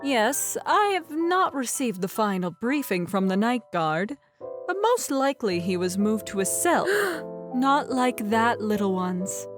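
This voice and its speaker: Woman as slow, regal pony princess. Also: on the high side, big pitch swings. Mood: calm, reassuring. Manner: stately, measured, formal. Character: regal, benevolent adult pony princess with gentle humor. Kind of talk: cartoon fan audio drama. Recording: clear background music under voice.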